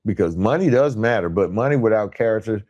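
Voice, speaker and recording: Male motivational podcast host, lower-pitched voice, emphatic and deliberate delivery, repetition for weight. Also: lively intonation, a little mellow, highs cut off. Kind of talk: motivational monologue, part scripted.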